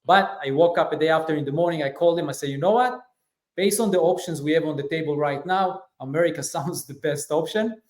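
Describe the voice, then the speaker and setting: A man's voice: on the high side, quick pace. Israeli man with an echoey room sound. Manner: relaxed, many fillers. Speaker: guest. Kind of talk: interview storytelling.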